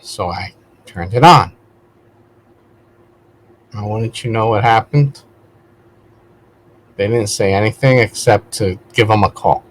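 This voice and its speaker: A man's voice: fairly low pitch, takes it slowly, pauses often, slight background noise, poor audio. A man talking casually as a vlog host, digressing and correcting himself as he goes in a conversational way. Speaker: video host, vlogger. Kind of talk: casual rambling spoken vlog.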